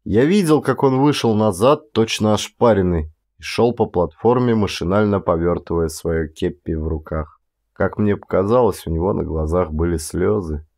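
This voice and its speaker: A man reading a literary story as an actor, in measured, expressive dramatic audiobook narration. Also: low-pitched.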